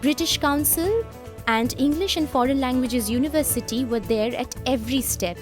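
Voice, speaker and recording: A woman giving formal, measured scripted narration for a promotional video. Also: high voice, soft background music.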